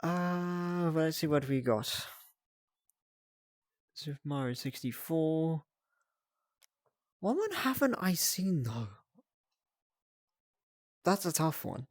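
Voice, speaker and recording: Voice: medium pitch, sing-song, takes it slowly, pauses often, no echo, studio quality. A livestreamer chatting casually to viewers in a giggly way, often thinking aloud. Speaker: livestreamer hosting a reaction stream.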